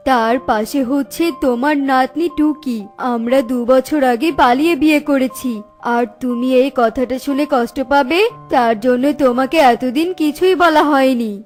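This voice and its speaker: A woman's voice: high-pitched, normal speed, a little mellow, lightly acted. A woman voicing a respectful young married adult in a cartoon, speaking politely and explaining. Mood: apologetic. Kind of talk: cartoon story voice acting. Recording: faint background music.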